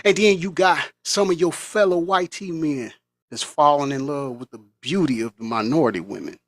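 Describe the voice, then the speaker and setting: Male vlogger from the US, impassioned sermon-like rant, higher-pitched voice, dry close recording. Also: normal speed, no room sound. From United States. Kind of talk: monologue.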